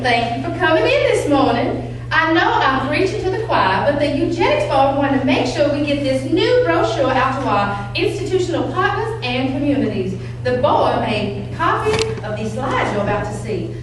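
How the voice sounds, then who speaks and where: An actress playing a brisk, folksy, condescending county official in a staged play reading. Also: female voice, sits high. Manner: chatty, bossy, folksy, patronizing. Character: brisk, folksy, condescending 1960s county eugenics coordinator woman. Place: large room at a live stage reading. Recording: echoey hall from far off, faint hum.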